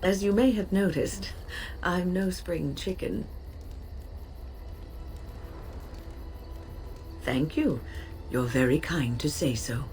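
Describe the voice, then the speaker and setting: A woman voicing a kindly, weary elderly mage in a video game, gentle and unhurried. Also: on the low side, faint music behind.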